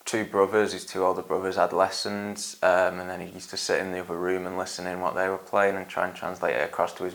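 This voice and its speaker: A young man, talking casually in a documentary interview, relaxed and rambling with lots of ums and "and stuff". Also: low voice, gravelly. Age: young adult. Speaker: band guitarist.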